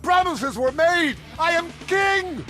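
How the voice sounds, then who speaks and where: A man playing an entitled, cowardly king in a video game, pleading in shrill, panicked indignation. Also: animated, takes it slowly, exaggerated voice. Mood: indignant panic. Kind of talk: video game character acting. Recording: loud music behind. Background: game soundtrack music.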